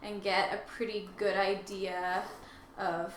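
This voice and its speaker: A woman's voice: medium pitch, flat delivery, slow pace. A woman co-hosting a casual, conversational discussion of a story. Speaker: co-host. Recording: echoey room with faint ambient noise.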